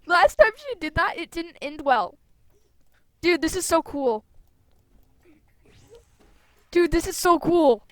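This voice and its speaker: A woman's voice: extremely high pitch, expressive, takes it slowly, long pauses, no room sound. A woman hosting a gameplay video with casual, chatty, playful commentary full of exclamations. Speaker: gaming video host. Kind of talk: casual gameplay commentary with read-out game dialogue.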